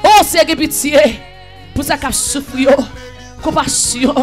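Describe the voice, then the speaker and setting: A man leading an impassioned prayer, pleading and repeating phrases, half singing and at times shouting. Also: very high-pitched, slow, faint music behind. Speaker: prayer leader. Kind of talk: fervent chanted prayer.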